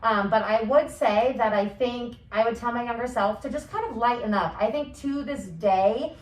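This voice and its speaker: A woman's voice: fairly high pitch, moderate pace. Woman, young adult, from New Jersey, earnest, reflective, echoey room. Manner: reflective, earnest, often answers with "right". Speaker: interview guest. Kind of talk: casual interview conversation. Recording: echoey room, mic at a distance.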